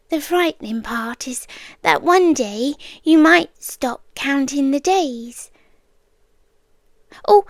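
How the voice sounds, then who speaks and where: A possessive British girlfriend, acted by a woman, murmurs in a high voice, close and dry on the mic. She takes her words very slowly and often sinks into whispers. It is intimate ASMR roleplay, sweetly devoted with quiet menace underneath. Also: no echo, exaggerated voice. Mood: tender, menacing. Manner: slow, hushed, deliberate, often slipping into whispers. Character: soft-spoken obsessive yandere girlfriend, gently menacing captor. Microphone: close microphone, dry.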